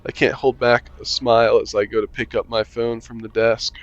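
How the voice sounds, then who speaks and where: A man's voice: mid-range voice, little pitch movement, normal speed, highs cut off, soft background music. Casual young man reading a game aloud. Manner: casual, joking asides, hums to himself. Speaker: gaming channel host. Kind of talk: reading a visual novel aloud with commentary.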